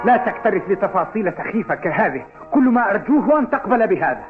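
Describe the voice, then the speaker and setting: A man's voice: high voice, big pitch swings, fast talker, soft background music. A man voicing a cocky, mocking school footballer who challenges his rivals in an Arabic cartoon dub. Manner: mocking, provocative. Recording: tinny, phone-like old TV dub audio.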